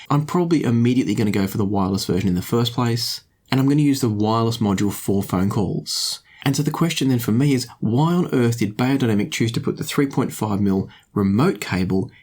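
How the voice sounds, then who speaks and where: A man reviewing audio gear to camera in a measured, conversational way, thinking aloud and inviting viewers to comment. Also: mid-range voice, animated, a little mellow.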